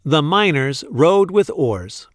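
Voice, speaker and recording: Animated man reading aloud, slow and deliberate. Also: a little high, no echo, studio quality. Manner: deliberate, playful emphasis on repeated sentences. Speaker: read-aloud storyteller. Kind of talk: expressive picture book read-aloud.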